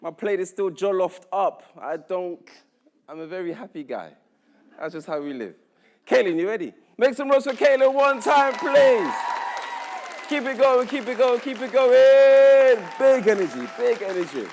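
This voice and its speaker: A man's voice: sits high, normal speed. A man from Nigeria hosting a live poetry event, joking and calling on the audience to cheer for each poet. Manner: energetic, joking, calls for cheers. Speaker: event host. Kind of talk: hyping up a live crowd. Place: indoor conference hall stage. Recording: live event with loud crowd noise.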